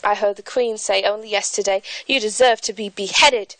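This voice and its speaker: A woman's voice: mid-range voice, steady tone, normal speed, fairly bright tone, dry recording, natural voice, low-quality recording. A woman voicing a sulky male playing-card gardener in a put-on British accent, bickering with a petulant edge. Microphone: phone held at arm's length.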